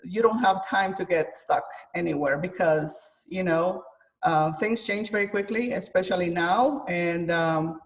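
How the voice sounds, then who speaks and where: A woman's voice: lowish voice, measured pace. Hesitant woman from Guatemala, distant mic. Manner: hesitant, many fillers, thoughtful. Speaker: chef and author guest. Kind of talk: interview conversation.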